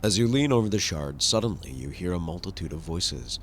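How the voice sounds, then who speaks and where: A man narrating a video game playthrough in a measured, dramatic storytelling way. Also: low voice, expressive, a little hiss or hum. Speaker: host and voice actor of a game playthrough.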